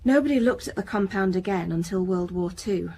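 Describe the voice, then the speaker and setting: A woman acting as a single-minded female scientist in a radio drama. She speaks at a middling pitch in a precise, lecturing, slightly superior way and sounds defensive. Ambient noise is audible behind her. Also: a little fast, dark tone, natural voice.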